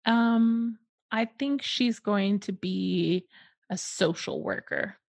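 A woman co-hosting a podcast with a husky, smoky voice, playful and quick to laugh. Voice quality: low husky smoker's voice. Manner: laughs often, playful, teasing. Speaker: podcast co-host. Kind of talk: casual comedic chat.

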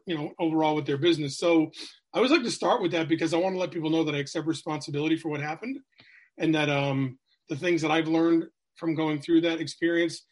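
A middle-aged man, a sales coach telling his life story as a podcast guest, candid and rambling with many fillers. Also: a little high. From New Hampshire, USA.

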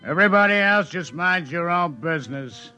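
A man's voice: fairly high pitch, expressive, moderate pace, bright, forceful tone, faint music behind. An actor playing a big, menacing government agent in an old-time radio drama, curt and commanding with tough-guy slang.

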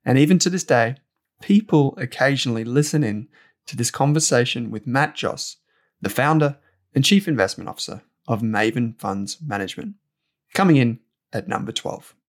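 A man presenting a scripted introduction to a podcast episode in a measured, announcing way. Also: mid-range voice, lively intonation.

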